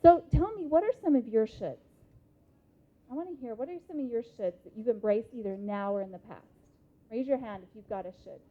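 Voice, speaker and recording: Motivational speaker, female, 30s, American, slightly high pitch, deliberate and emphatic, muffled phone-like hall sound. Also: animated. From Idaho, United States. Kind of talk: interactive motivational talk to a live audience. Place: conference hall. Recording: muffled lo-fi live hall recording.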